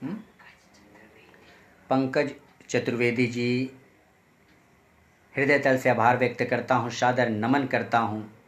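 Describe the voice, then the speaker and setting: A man from Chhattisgarh at an online poetry session, greeting and thanking each listener by name in a formal, respectful manner. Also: level tone. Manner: sings high and drawn out, spacing each phrase slowly. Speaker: poet. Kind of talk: sung Hindi poem, then spoken greetings at an online poetry session.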